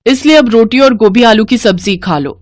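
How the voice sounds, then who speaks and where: A woman voicing an irritable, stubborn young daughter-in-law in a moral story, with a brisk, complaining manner. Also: on the high side, animated, darkish tone, no echo, studio quality, natural voice. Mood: defensive. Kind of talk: scripted Hindi moral-story cartoon voice.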